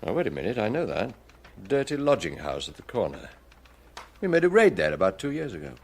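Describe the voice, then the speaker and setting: A British actor playing a shrewd, commanding chief inspector with dry sardonic manner in a radio drama. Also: male voice, lowish voice, wildly swooping pitch, fairly quick, creaky, exaggerated voice. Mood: businesslike.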